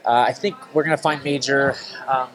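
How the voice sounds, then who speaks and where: Nasal congested man, actor in press interview, matter-of-fact, mid pitch. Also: fairly even intonation, fairly quick, a bit bright, rough recording. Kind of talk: press room interview answers.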